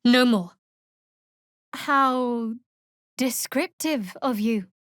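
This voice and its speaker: An actress playing a guarded, wry young half-elf cleric in a fantasy video game, speaking in a measured, dry, slightly haughty way. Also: female voice, a little high, a bit bright, no room sound. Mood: wry, cutting. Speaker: video game character voice actor. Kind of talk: scripted fantasy game dialogue.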